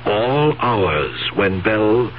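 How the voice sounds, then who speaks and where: An actor playing a scheming, impatient nephew in a 1970s radio drama, speaking firmly and deliberately with an exasperated edge. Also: male voice, fairly low pitch, big pitch swings. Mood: calculating. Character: scheming, impatient nephew humouring his aunt. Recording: dull old radio recording, faint hum.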